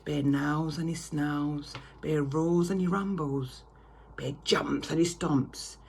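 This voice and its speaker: A woman reading a picture story aloud to children with theatrical, drawn-out emphasis. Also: low voice, steady tone, a little mellow. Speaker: primary school reader. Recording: clear background noise.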